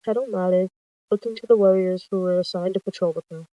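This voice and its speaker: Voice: mid-range voice, steady tone, brisk, no echo, lo-fi sound. A storyteller reading out a scripted animal roleplay tale, narrating and voicing all the characters.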